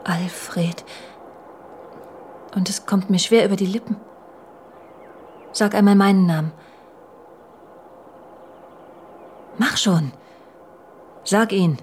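An actress playing a brooding, suspicious middle-aged wife in an intimate radio drama, hushed and halting, often slipping into a whisper. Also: female voice, medium pitch, a little hiss or hum, lightly acted. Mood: brooding, needling. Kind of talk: intimate German radio drama acting, dialogue and inner monologue.

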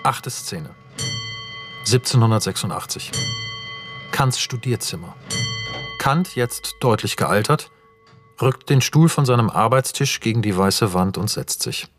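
A man's voice: fairly low pitch, lively intonation, unhurried, pauses often, over music. A man narrating scene directions for a radio play in a scripted, matter-of-fact manner. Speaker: narrator reading screenplay directions.

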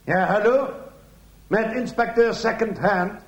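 Man doing a high-voiced, bumbling police inspector. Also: takes it slowly. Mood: flustered. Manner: exclaiming, questioning. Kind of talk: comic radio play acting. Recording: echoey room, faint noise.

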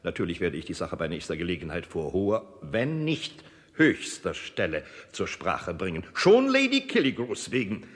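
An actor playing a snobbish, indignant court lord, pompous and officious in an emphatic radio play monologue. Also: male voice, low-pitched, wildly swooping pitch, normal speed. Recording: reverberant hall.

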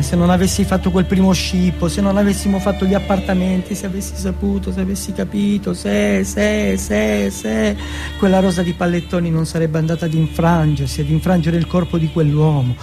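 A man performing a dramatic first-person monologue in short, halting phrases with weighty pauses. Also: a little high.

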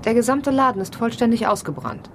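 Actress as Julia, an urgent, fast-talking woman. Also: fairly high pitch, expressive, a little hiss or hum, lightly acted. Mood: urgent, suspicious. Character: Julia, serious woman confronting a man with suspicion. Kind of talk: crime radio play dialogue.

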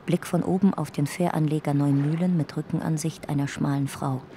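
A detached male narrator, voiced by an actor in a radio drama. His fairly high voice stays even through clipped, factual phrases. Clear noise runs behind him. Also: a little fast, lightly acted. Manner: clipped, matter-of-fact phrases. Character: detached narrator cataloguing details like a report.

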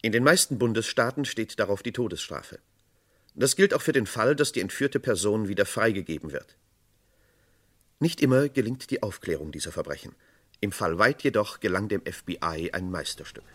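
A man's voice: fairly low pitch, expressive, moderate pace, fairly bright tone. A man narrating a radio crime play in a sober, matter-of-fact tone.

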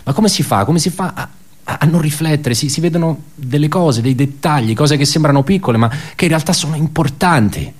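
An actor playing an embittered, pedantic male office worker in a radio theatre monologue, halting and repeating his words as he puts rhetorical questions. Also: a little high, close to an everyday voice. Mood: pleading, agitated.

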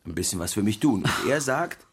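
Animated, husky storytelling husband. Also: male voice, on the low side, brisk, faint music behind. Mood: relishing, confiding. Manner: theatrical anecdote telling with quoted dialogue. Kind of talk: radio play storytelling.

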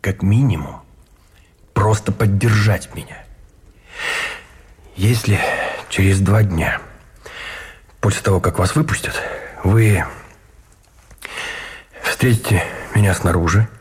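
An actor playing a cold, calculating imprisoned army colonel in a Russian radio drama, measured, formal and conspiratorial, with a husky voice. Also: male voice, on the low side, exaggerated voice. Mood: insistent, calculating. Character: cold, calculating army colonel, a prisoner plotting murder for duty. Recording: studio radio play with faint music behind.

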